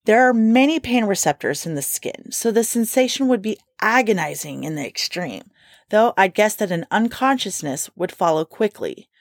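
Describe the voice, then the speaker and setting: A woman's voice: mid-range voice, expressive, moderate pace, no room sound, studio quality. A woman co-hosting a casual podcast, telling a strange case with playful swearing and reading quotes aloud. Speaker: podcast co-host telling a true-crime story. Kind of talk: casual storytelling chat.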